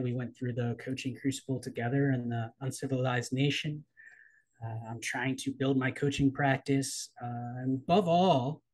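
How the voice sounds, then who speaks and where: Interview guest, male, 30s, from the US East Coast. Thoughtful, candid, measured delivery. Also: mid-range voice, low-quality recording. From East Coast of the United States. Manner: thoughtful, measured, with frequent ums and trailing pauses. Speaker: guest, coach and writer. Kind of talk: reflective personal interview.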